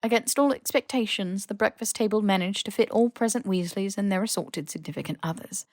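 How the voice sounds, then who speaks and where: A woman's voice: mid-range voice, a little fast, no room sound. A woman narrates a story audiobook-style. Her voice sits in a middle register, and the recording is close and dry, with no room sound. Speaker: podfic narrator. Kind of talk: scripted audiobook narration of fan fiction.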